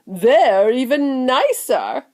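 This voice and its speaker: A woman's voice: high voice, sing-song, a little mellow, pitched above the performer's own voice, heavily acted. A woman voicing an exuberant, eccentric male candy factory owner who proudly shows off, stretching words theatrically in a storybook reading. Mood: eager, inviting. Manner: theatrical, drawn-out emphatic words.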